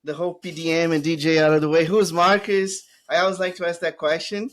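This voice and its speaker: A man with a Portuguese accent hosts an interview in a chatty, enthusiastic way. His voice sits a little high for a man. He talks loosely and fills pauses with small fillers. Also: flat delivery. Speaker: podcast host. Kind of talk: casual interview chat.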